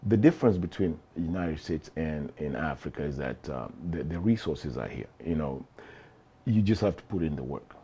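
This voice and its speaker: A middle-aged man from Kenya, an actor telling his story as an interview guest, relaxed and chuckling, with frequent restarts. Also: rumbling low, normal speed, slight background noise. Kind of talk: casual interview chat.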